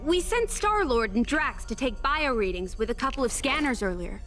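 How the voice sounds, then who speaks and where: Superheroine team leader, high, animated pitch, brisk, articulate, game cutscene, music behind. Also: female voice. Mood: focused, puzzled.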